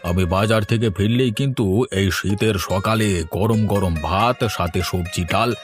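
Village husband for cartoon, male actor: low pitch, folksy, good-natured coaxing, faint music. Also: measured pace, close to an everyday voice. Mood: genial, coaxing. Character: genial, food-loving village husband, early riser. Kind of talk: cartoon dialogue. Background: instrumental music.

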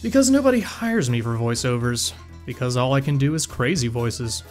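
An earnest, needy commenter played by a man pours it out in a comedy web cartoon. The pitch is middling, with faint music behind. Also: lively intonation, normal speed, close to an everyday voice. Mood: self-pitying. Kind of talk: dramatic comedic comment reading.

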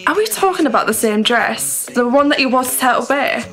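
A woman's voice: high voice, animated, a little fast, a bit bright, faint music behind. A young woman acting in a radio drama, playing a concerned, protective best friend, earnest and probing. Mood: concerned, insistent. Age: young adult.

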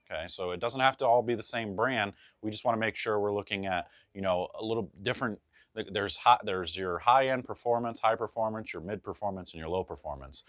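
Low-voiced man giving shop advice. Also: a bit bright, no room sound. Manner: chatty, loose, self-correcting, jokey asides. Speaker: bowling pro shop reviewer. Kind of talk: casual advice vlog to camera. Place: bowling pro shop in front of a ball wall.

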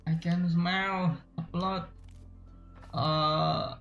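Brief: Indonesian-accented game streamer, gravelly, halting casual commentary, game music faintly behind. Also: mid-range voice, level tone, a bit bright, roomy sound, lo-fi sound. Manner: frequent throat clearing, halting restarts. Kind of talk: casual let's-play commentary.

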